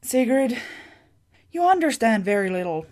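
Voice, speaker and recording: A woman's voice: a little high, very animated, moderate pace, a little mellow. A woman voicing a sly scheming sorceress. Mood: fierce.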